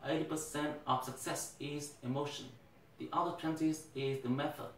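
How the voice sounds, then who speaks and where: A young man with a Vietnamese accent speaks in an earnest, deliberate way, as if delivering a prepared first speech. His voice sits a little high for a man. The sound is echoey and a bit distant, with a faint hiss behind it. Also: steady tone. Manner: earnest, deliberate, occasional restarts. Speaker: English teacher. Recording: echoey room, mic at a distance.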